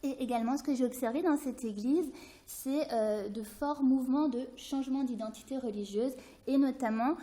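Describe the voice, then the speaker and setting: Middle-aged French woman academic; hesitant presenter, fairly high, mellow voice. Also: moderate pace. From France. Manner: hesitant, many fillers and restarts. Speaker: anthropology professor presenting her research.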